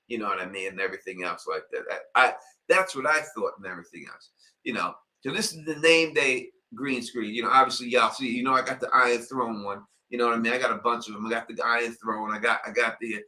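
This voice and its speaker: A man's voice: medium pitch, sharp tone, low-quality recording. A middle-aged man from Queens hosts a casual live-stream Q&A, rambling and leaning on filler phrases. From Queens, New York.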